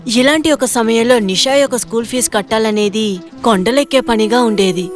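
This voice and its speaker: A woman narrator reading a scripted moral story for children. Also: fairly high pitch. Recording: loud music behind the voice.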